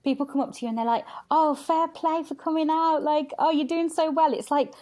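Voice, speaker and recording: A woman's voice: high voice, fairly even intonation, fairly quick, dark tone. A woman talking candidly and casually as a podcast guest, chatty and acting out quotes as she tells stories. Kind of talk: candid casual interview chat.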